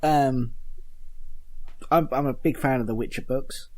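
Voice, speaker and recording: A man's voice: mid-range voice, steady tone, a little slow, rough recording. A man chatting casually as a podcast panelist, a bit hesitant and rambling, with a joking manner. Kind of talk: casual group chat.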